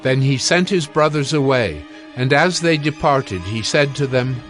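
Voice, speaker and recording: Male narrator: solemn, measured scripture reading, mid pitch. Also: expressive, a bit bright, faint music behind.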